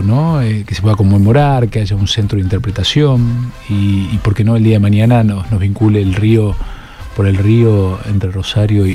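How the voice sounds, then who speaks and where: A middle-aged man with an Argentine Spanish accent, answering interview questions as a political candidate and pausing often on fillers and restarts. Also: lowish voice, dark tone. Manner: measured, with many fillers and restarts.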